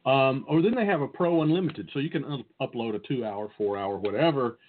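A man's voice: medium pitch, a little fast, a little hiss or hum. A man with a Southern US accent chatting casually as a podcast co-host, sharing tech tips. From Southern United States. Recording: phone-like, narrow-band audio.

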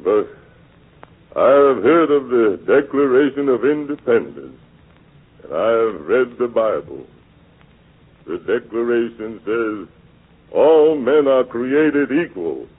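A dignified, deferential old man in a 1940s radio play. His delivery is unhurried and swings widely in pitch, halting yet earnest. The old recording is narrow and muffled. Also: lively intonation, husky. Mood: impassioned. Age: older. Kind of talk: 1940s radio drama acting.

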